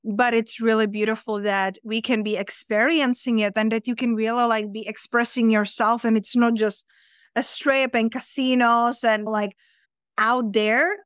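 A woman's voice: on the high side, fairly even intonation, a little slow, a bit bright, clean tone, no echo, studio quality. A woman in her thirties from the Czech Republic with a Czech accent, chatting as a podcast guest with many fillers. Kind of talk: casual interview chat.

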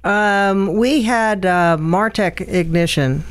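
A woman's voice: mid-range voice, takes it slowly, clean tone. A woman guest, a former motorcycle racer, answering casually with a hesitant manner and many fillers. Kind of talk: casual interview chat.

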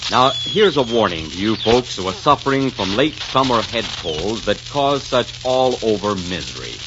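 A man's voice: lowish voice, very animated, moderate pace. A man announcing in polished, persuasive 1940s radio style, reading a scripted commercial with emphatic phrasing. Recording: noisy, muffled 1940s radio recording.

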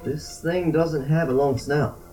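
A man's voice: fairly high pitch, steady tone, unhurried, dark tone, faint music behind, lightly acted, rough recording. A man acting in an amateur audio drama as a cautious, nervous diver with a casual, wary way of talking. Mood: puzzled.

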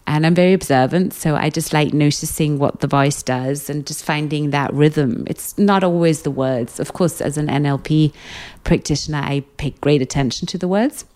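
Guest, British woman, low and calm, soothing hypnotist delivery. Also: normal speed. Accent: British English. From Britain. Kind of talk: interview conversation.

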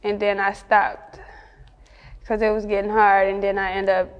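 A woman's voice: medium pitch, flat delivery, normal speed, clear, resonant tone, in a large hall, highs cut off. A woman with a Chicago accent chatting casually as an interview guest, candid and slangy. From Chicago, United States. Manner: candid, slangy, often chuckling.

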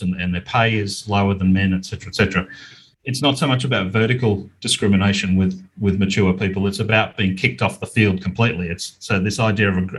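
Slightly husky, low-pitched Australian man. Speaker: podcast guest, business owner. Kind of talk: conversational interview, earnest explaining.

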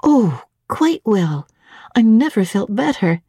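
A woman's voice: a little high, big pitch swings, slow pace, warm tone, slightly rough, dry recording, studio quality, voice pushed up, exaggerated voice. A woman voicing an eager, earnest young governess in a dramatic audiobook reading. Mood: brisk reassurance.

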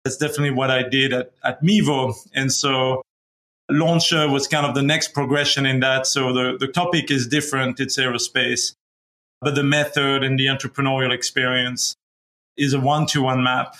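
A middle-aged man from Belgium, a company founder, speaking as a guest over a video call in a halting casual way, with many restarts and fillers. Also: mid-range voice, flat delivery, pushed and bright. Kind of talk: casual interview talk.

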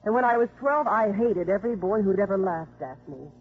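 An actress playing a guarded, wounded, defiant career woman with a husky voice in a 1950s radio drama. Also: mid-range voice, lively intonation, fairly quick. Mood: hurt, bitter. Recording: thin, phone-like old radio recording.

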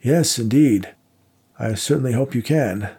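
A man's voice: mid-range voice, a little slow, dark tone, breathy edge, pitched above the performer's own voice. A man voicing a fussy, awkwardly polite elderly male donor with a raised voice. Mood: eager.

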